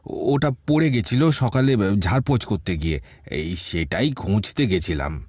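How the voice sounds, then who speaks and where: A man's voice: on the low side, animated, unhurried, a little mellow, husky, no echo, clean studio sound. A man playing an anxious, deferential older household servant in an audio detective drama, pleading and stammering. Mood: nervous, evasive. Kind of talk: audio detective story acting.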